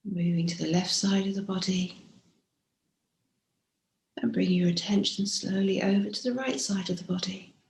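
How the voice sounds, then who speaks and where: Echoey room, woman doing a body scan meditation, soft n gentle, bit of fry, lots of pauses, breathy endings. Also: medium pitch, steady tone. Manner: gentle, unhurried, with frequent pauses. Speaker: meditation teacher. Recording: roomy, echoing room sound.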